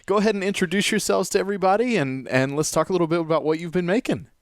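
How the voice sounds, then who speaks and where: A man's voice: sits high, very fast, dry recording, clean studio sound. A man hosting a live radio show, upbeat and enthusiastic, with frequent filler words. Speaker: radio host.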